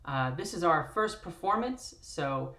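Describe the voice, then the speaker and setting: A woman's voice: on the low side, expressive, measured pace, fairly bright tone. A woman hosting a live-streamed table read, introducing it and narrating from a script.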